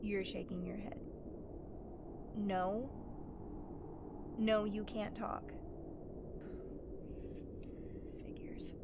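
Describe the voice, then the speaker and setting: A woman's voice: mid-range voice, slow pace, creaky, exaggerated voice. A woman playing a sarcastic, impatient wasteland survivor in an audio roleplay, talking straight to the listener in a wry, exasperated, brusque manner. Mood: urgent, wry. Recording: steady ambient noise behind the voice. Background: howling wind sound effects.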